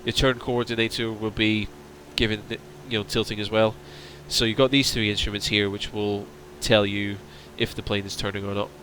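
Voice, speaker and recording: A man streaming a flight simulator, chatting casually and answering viewers' questions while his voice starts to strain and go hoarse. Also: on the low side, flat delivery, moderate pace, hardly any pauses, noisy background. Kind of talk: casual livestream chat.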